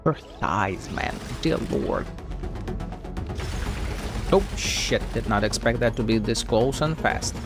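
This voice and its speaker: A man's voice: medium pitch, expressive, takes it slowly, pauses often, over music. A man streaming a video game with casual, rambling live commentary, playfully reacting aloud as he plays.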